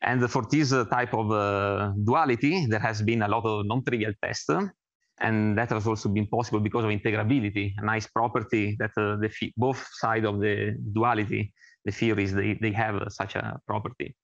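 A man from Italy with an Italian accent gives an informal research presentation as an academic, speaking haltingly with many filled pauses. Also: lowish voice, steady tone, no echo, dull audio. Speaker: academic researcher introducing himself and his work.

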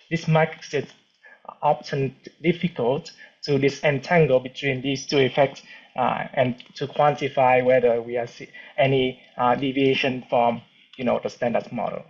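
A man, giving an academic seminar talk in a halting, relaxed way with frequent restarts. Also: mid-range voice. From Vietnam. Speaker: cosmology researcher giving a seminar. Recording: dull lo-fi recording.

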